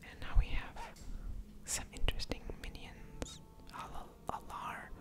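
Female ASMR gamer: whispered, very leisurely game commentary, mulling over moves, audible mouth sounds. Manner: slow, musing aloud over each move.

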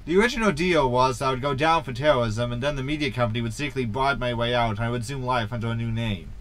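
An actor playing a glib, cocky impostor space captain in a sci-fi comedy audio drama, breezy and matter-of-fact. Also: male voice, medium pitch, fast, fairly bright tone, natural voice, low-quality recording.

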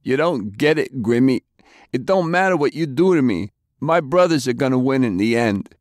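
Man reading captive henchman, raised shrill voice, desperate and zealous, dry recording. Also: animated, moderate pace, no room sound, natural voice. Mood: fervent. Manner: whiny, desperate, fervent. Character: frightened, fanatical male henchman prisoner.

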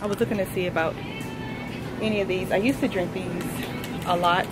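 A woman's voice: on the low side, fairly even intonation, brisk, loud music behind the voice. An American woman in her thirties chatting casually and familiarly to her viewers as a lifestyle vlogger. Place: car, then a large store.

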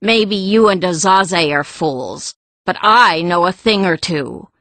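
A woman's voice: fairly low pitch, expressive, slow pace, fairly bright tone, dry recording, heavily acted. A woman playing a mean, greedy islander, scornful and smug, in a fantasy audiobook. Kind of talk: full-cast fantasy audiobook acting.

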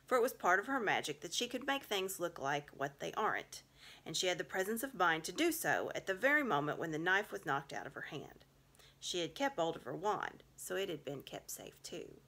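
A woman in middle age reads a children's story aloud in a small room at home. Her voice is a little low and has a bright, clear edge. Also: big pitch swings, fairly quick. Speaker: reader.

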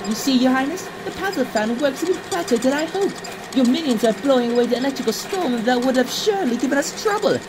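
A woman performing cartoon animal characters in an expressive comic dub. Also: sits high, fast talker, background noise. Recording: voice under loud background music.

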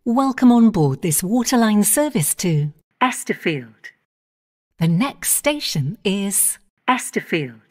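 A woman giving automated onboard train announcements in measured, clipped phrases, naming each station in turn. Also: mid-range voice, sing-song, darkish tone.

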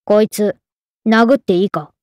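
A woman's voice: mid-range voice, steady tone, unhurried, a little mellow, dry recording, studio quality, natural voice. A woman voicing a cheeky, blunt female spirit companion in a game, with tomboyish speech. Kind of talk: game character voice lines.

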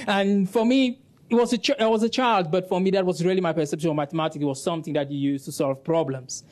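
Young French-native man from Cameroon, earnest panelist on a lapel mic, a touch high-pitched. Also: quick pace, darkish tone. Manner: earnest storytelling, laughs easily. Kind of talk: panel discussion answer. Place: stage of a conference room.

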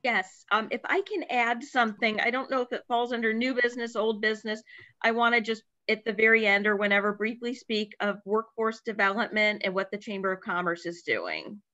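A woman's voice: fairly high pitch, a little fast, pushed and bright. An older woman on a council asking politely, with a touch of hesitation, to add an item in a video meeting. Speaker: council member. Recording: dull lo-fi video call audio.

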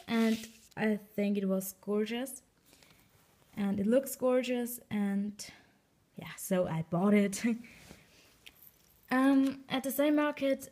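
A woman's voice: mid-range voice, a little mellow, slight background noise. A young woman from Austria, speaking English with a German-speaking Austrian accent, showing off souvenirs in a casual, hesitant haul video. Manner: slow, hesitant, frequent ums and giggles. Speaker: vlogger. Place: bedroom at night, indoors.

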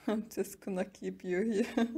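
A woman playing a smug, taunting tsundere captor in an ASMR roleplay, mocking and teasing with drawn-out pauses. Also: medium pitch, fairly even intonation, vocal fry, warm tone, husky, exaggerated voice. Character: smug, taunting tsundere young woman keeping a captive werewolf.

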